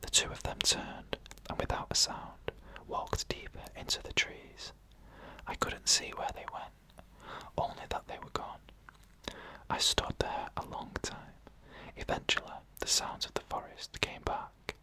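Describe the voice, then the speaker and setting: A narrator reading eerie first-person stories in a slow, deliberate ASMR whisper, pausing between short phrases. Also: pushed and bright.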